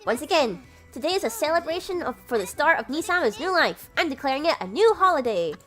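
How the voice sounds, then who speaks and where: Woman playing a grand, high-voiced little sister. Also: very animated, fast talker, a bit bright, soft background music. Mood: grandly announcing. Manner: formal, declarative, self-assured. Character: grand, doting rich little sister of the bakery owner.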